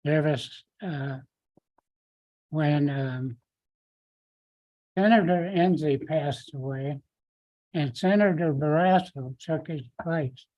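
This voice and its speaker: An older man speaking at a council meeting's public comment, halting and rambling with many fillers. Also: a little high, dry recording, muffled recording.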